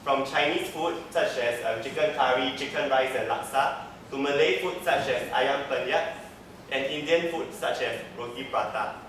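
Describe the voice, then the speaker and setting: A man's voice: medium pitch, normal speed, fairly bright tone, a little hiss or hum. A young man from Singapore with a Singaporean English accent, giving an earnest, structured class presentation and linking each point with "so.". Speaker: student presenter. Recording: echoey classroom, mic far off.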